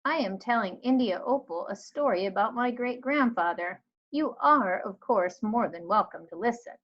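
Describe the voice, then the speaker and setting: A woman reading a storybook, voicing an elderly lady librarian who tells tales fondly with drawn-out, emphatic phrasing. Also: mid-range voice, lively intonation, close to an everyday voice. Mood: polite, musing. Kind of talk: storybook read-aloud. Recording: dull lo-fi recording.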